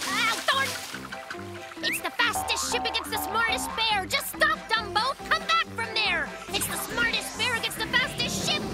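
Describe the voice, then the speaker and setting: A woman voicing an excitable, boastful young brown bear cub in a cartoon, full of sound effects and big claims. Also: extremely high pitch, full character voice. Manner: hyper, boastful, dramatic storytelling with sound effects. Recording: cartoon audio with loud music.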